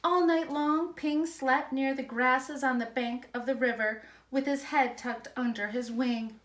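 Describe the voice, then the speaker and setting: A woman reading a children's storybook aloud in an expressive, emphatic storytelling manner. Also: sits high, measured pace. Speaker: school principal reading aloud.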